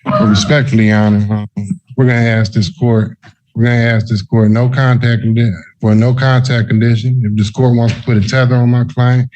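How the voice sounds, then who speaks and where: A man's voice: medium pitch, dark tone, lo-fi sound. A man arguing in court as a defense attorney, deferential and repeating his phrases as he goes. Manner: deferential, repetitive. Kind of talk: courtroom argument.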